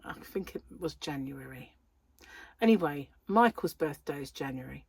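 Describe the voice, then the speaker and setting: Chatty, wheezy British woman with a lively voice. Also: low-pitched, moderate pace, creaky, breathy edge, dry recording. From United Kingdom. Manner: chatty, self-deprecating, laughs often. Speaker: crochet channel host. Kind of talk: casual chat to camera.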